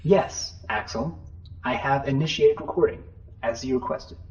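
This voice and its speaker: A man's voice: medium pitch, unhurried, darkish tone, dull audio, close to an everyday voice. A man playing a formal, courteous and unhelpful shipboard computer in a science fiction audio drama. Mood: polite, unbothered. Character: polite, unhelpful male shipboard computer on a spaceship.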